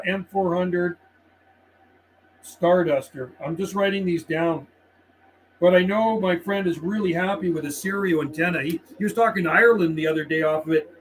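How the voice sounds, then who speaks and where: A man's voice: sits high, fairly bright tone, rough recording. A middle-aged Canadian man hosting a casual live radio stream, chatting in a friendly, laid-back way and calling out on CB channels. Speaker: livestream host and CB radio operator. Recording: clear background noise, radio ambience. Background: CB radio noise and ambience.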